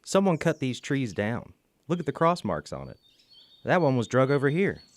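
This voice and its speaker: An actor playing a dry-humoured, cautious bookkeeper in a radio drama, with a West Virginia accent. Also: male voice, medium pitch, sing-song, moderate pace, close to an everyday voice. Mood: uneasy. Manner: folksy, plainspoken. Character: dry-humoured, cautious mine company bookkeeper, homespun family man.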